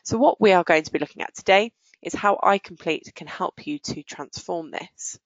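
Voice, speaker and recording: A woman presenting a software product demo in a prepared, explanatory style, recorded as a voice-over. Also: fairly low pitch, moderate pace, no echo. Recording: dull, muffled, lo-fi audio.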